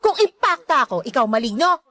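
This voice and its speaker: A woman's voice: high-pitched, big pitch swings, fairly quick, pushed and bright, no room sound, full character voice. A woman playing a whiny, spiteful young girlfriend villain in a Filipino radio drama, with a haughty, bratty delivery.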